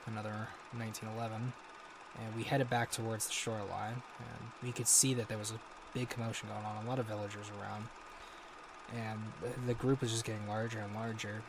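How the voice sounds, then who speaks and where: An actor playing a haunted American war veteran, recounting grim horrors haltingly in an audio drama log. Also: male voice, fairly low pitch, vocal fry, noisy background. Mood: unsettled, grave. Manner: halting, sighing, hesitant delivery.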